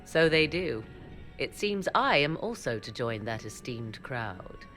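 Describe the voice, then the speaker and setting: A woman's voice: rumbling low, sing-song, measured pace, fairly bright tone, faint music behind, full character voice. An actress voicing an aloof, sardonic woman mage, speaking in formal, old-fashioned phrasing with dry, teasing asides. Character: aloof, sardonic woman mage who deals in forbidden arts. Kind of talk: video game cutscene acting.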